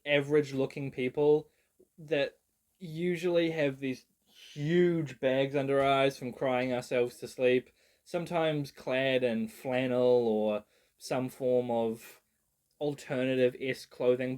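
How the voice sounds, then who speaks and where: A young New man talks through a music genre in a loose, rambling vlog style. He mocks himself, swears freely and leans on "like" as a filler. His pitch sits right in the middle for a man. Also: a little mellow. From New Zealand. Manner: rambling, self-mocking, swears, many "like" fillers. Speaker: video creator. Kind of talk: casual genre breakdown.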